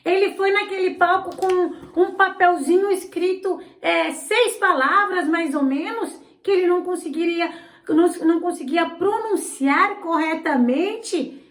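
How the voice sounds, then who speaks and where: A woman's voice: extremely high pitch, unhurried. A woman who speaks Portuguese as a learned language, hosting her channel with indignant, sarcastic political commentary. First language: not Portuguese. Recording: roomy echo.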